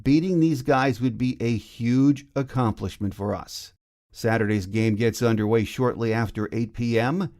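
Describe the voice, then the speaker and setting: A male podcast host presenting a sports preview. He speaks at a middling pitch, in the manner of someone reading prepared show notes. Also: a little slow, darkish tone. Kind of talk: scripted sports preview narration.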